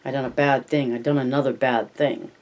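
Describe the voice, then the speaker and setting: A reader voicing a big, simple-minded, childlike man in a storybook, earnest and anxious, with naive halting speech and a rural American accent. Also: mid-range voice, level tone, darkish tone, slight background noise, lightly acted. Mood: frightened, regretful.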